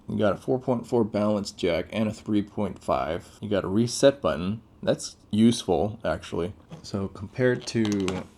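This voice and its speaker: A man's voice: fairly low pitch, a little mellow. A man giving casual, off-the-cuff unboxing commentary as a tech reviewer, laid-back and informal with plenty of slang.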